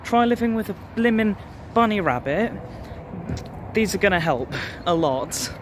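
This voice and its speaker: A young man with an English accent talks casually to his viewers. His voice sits a little higher than most men's. He is chatty and informal, speaking into a phone held at arm's length. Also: animated, a little slow, noisy background. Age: 20s. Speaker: vlogger. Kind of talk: casual vlog chat to camera.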